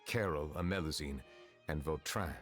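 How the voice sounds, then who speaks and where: An actor playing a solemn, reserved chief justice in a video game, speaking formally and gravely in measured phrases. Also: male voice, very deep voice, fairly bright tone. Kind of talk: scripted video game dialogue. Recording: background music under the voice.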